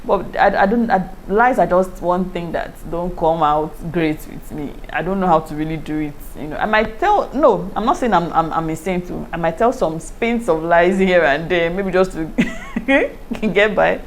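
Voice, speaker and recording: A woman with a Nigerian accent, chatting casually to her viewers in a lively way and often breaking into laughter. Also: lowish voice, normal speed, few pauses, a little hiss or hum. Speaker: YouTuber. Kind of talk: casual review chat to camera.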